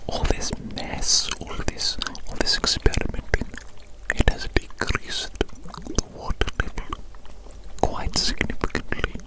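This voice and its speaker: Man playing a whispering mad scientist. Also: close to an everyday voice. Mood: musing, concerned. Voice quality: breathy unvoiced whisper. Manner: theatrical, muttering, repeats phrases deliberately. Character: eccentric male mad scientist, obsessive, gleefully reckless. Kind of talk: ASMR roleplay, whispered. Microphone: close microphone.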